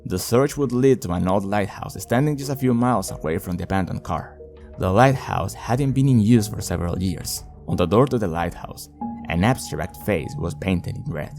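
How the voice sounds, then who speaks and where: A man with a deep, low voice narrates a horror series as host of a review video. His delivery is scripted storytelling with casual commentary mixed in, over faint background music. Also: animated, moderate pace, darkish tone.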